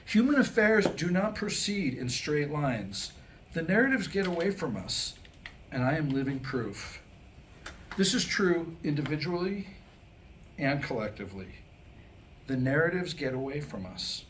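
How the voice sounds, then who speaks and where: A man with a slightly high voice delivers a scripted comic monologue at a staged reading. He is wry and mock-scholarly, phrasing his lines deliberately. The live recording carries a faint layer of noise. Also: very animated. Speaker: writer presenting his new humorous script. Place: live staged reading before a small audience. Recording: clearly noisy live room recording.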